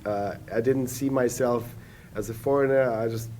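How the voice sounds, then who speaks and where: A man's voice: medium pitch, fairly even intonation, moderate pace, mellow, slight background noise. A man in his forties, a native German speaker, telling his story as a podcast guest in a rambling way, with many fillers and restarts. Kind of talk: casual podcast conversation.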